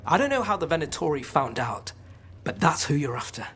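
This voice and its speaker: An actor playing a wry, scheming criminal informant in a video game, bargaining in an informal, sly way. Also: male voice, fairly high pitch, very animated, a little fast, a bit bright, husky, soft background music, exaggerated voice. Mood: sly, conspiratorial.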